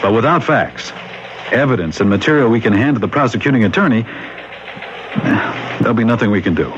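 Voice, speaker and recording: An actor playing a pragmatic, world-weary police captain in a radio mystery drama, blunt and lecturing. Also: male voice, medium pitch, lively intonation, normal speed, close to an everyday voice. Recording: dull, noisy vintage radio broadcast.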